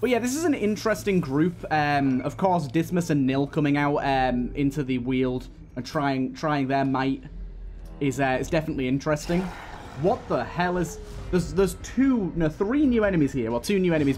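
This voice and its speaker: A man with a slightly high voice talks casually through a video game, reasoning out each tactic aloud as he goes. Music plays behind him. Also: expressive, normal speed, a little mellow. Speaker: gaming channel host. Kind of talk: casual gameplay commentary.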